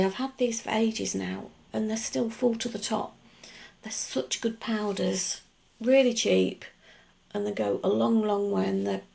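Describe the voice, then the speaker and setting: Woman, middle-aged, gravelly morning voice, very creaky, chatty nail tutorial, mid pitch. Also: dark tone. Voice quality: gruff, gravelly. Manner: chatty, rambling explanations with many asides. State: just woken up. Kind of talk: casual tutorial voice-over.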